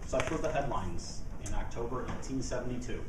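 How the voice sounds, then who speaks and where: A man delivering a humorous tall-tale speech to a room, in a deliberate, theatrical storytelling manner with dramatic pauses. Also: medium pitch, distant mic. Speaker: speech contest speaker. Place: indoor meeting room before an audience. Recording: echoey room from afar, faint noise.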